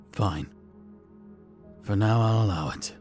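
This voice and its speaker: A man's voice: on the low side, darkish tone. Stern, suspicious knight commander played by a man. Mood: grudging. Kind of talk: audio drama acting. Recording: music under the voice.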